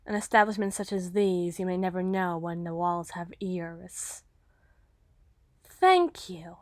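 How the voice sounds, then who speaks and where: A woman's voice: mid-range voice, sharp tone, no echo, clean studio sound. A woman playing a condescending, possessive aristocratic huntress in a dramatic audio roleplay, speaking in formal, emphatic, theatrical phrases. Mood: mock gracious.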